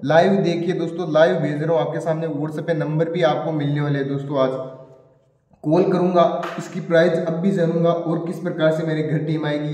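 A man's voice: fairly high pitch, darkish tone. A man, talking salesily to viewers and often repeating himself. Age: 20s. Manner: repetitive, keeps addressing viewers as friends. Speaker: video host. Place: small bare room indoors. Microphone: camera or phone set in front of him. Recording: very echoey room, distant microphone.

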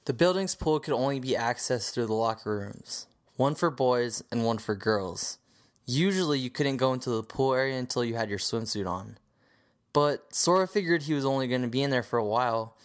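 A man's voice: mid-range voice, normal speed. A man reading scripted story narration as the narrator of an audio drama.

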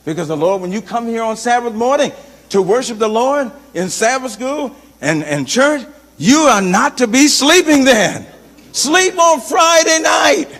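Middle-aged pastor, high-pitched, animated sermon delivery in a big reverberant sanctuary. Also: male voice, measured pace. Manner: animated, swings from loud to soft, gestures while preaching. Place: large church sanctuary, indoors. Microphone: handheld microphone. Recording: long church reverb.

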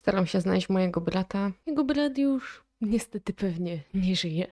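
A woman giving casual reaction commentary, reading text aloud and thinking out loud in a chatty, inquisitive way. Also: mid-range voice, expressive, normal speed. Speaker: video creator reacting to analog horror.

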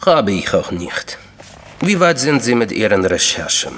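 An actor playing an enigmatic Russian official in a crime radio drama, courteous, deliberate and coolly menacing. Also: male voice, on the low side, big pitch swings, fairly bright tone, in a large hall, heavily acted. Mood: coolly confessional.

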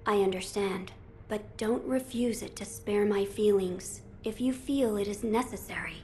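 A woman's voice: medium pitch, unhurried, a little mellow, slight background noise, lightly acted. A woman voicing an earnest, dignified young noble boy in a video game, formal and polite. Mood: earnest, gracious.

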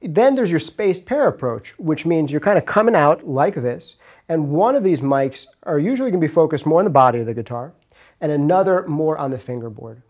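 A man's voice: a little high, lively intonation, a little fast, a little mellow. A man in his thirties presenting an instructional video to camera in a casual, explanatory way. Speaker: audio engineering video host and instructor. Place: recording studio rooms, indoors.

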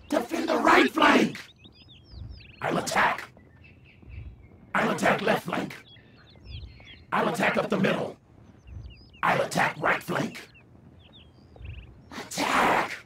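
Game villain: maniacal male killer, raspy and theatrical, wildly swooping pitch, noisy ambience behind. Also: sharp tone, exaggerated voice. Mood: urgent, commanding. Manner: theatrical menace, slow gloating delivery. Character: sadistic, maniacal male killer who relishes violence. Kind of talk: video game character voice lines.

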